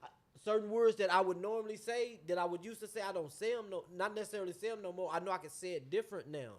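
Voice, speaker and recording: A man's voice: high-pitched, quick pace. A man with a Texas drawl talking casually on a podcast, often repeating and restarting his words and trailing off. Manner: animated, repeats himself and restarts, trailing off quietly. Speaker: podcast co-host. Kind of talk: casual chat.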